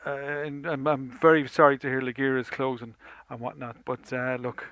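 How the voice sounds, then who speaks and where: A man's voice: medium pitch, level tone, normal speed, fairly bright tone. A man signing off his radio show in casual, rambling chat, full of fillers and restarts. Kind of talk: casual sign-off chat between tracks.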